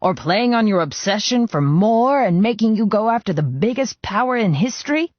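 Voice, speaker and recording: An older biker boss as a male audiobook narrator plays him speaks to his protege with earnest, sentimental feeling. His pitch moves in lively swings. The recording is dry and a little muffled. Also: normal speed, no echo. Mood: earnest, doubting. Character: older male biker club boss, fond mentor, emotional.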